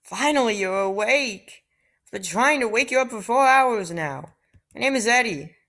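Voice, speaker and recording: A woman voicing small cheerful mushroom villagers, reading the game's dialogue in a light, slightly higher way. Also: animated, moderate pace, fairly bright tone.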